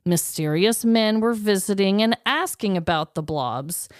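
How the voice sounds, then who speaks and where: A woman's voice: mid-range voice, a little slow, smooth, dry recording, studio quality. A woman co-hosting a podcast, telling stories in a casual, conversational way with an informal, candid manner. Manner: informal, candid, occasionally swearing. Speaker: podcast co-host.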